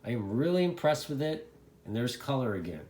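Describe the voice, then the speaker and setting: A man giving a casual hands-on gadget review, haltingly thinking aloud as he demonstrates. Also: mid-range voice, big pitch swings, a little mellow. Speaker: product reviewer. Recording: echoey, roomy sound.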